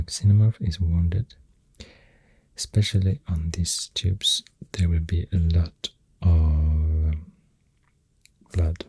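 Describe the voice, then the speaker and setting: ASMR host, Swedish accent, very breathy and hushed, slow unhurried rambling, close dry mic. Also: low-pitched, dry recording. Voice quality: soft, breathy, whispery. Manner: hushed, hesitant, gentle rambling delivery drifting into whispers. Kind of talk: soft-spoken ASMR, rambling facts while drawing. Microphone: close ASMR microphone. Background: pencil writing and clicking sounds.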